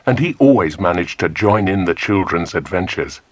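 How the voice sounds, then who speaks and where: Deep-voiced, animated male storyteller. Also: moderate pace. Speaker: narrator. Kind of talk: scripted storytelling narration for a children's audiobook.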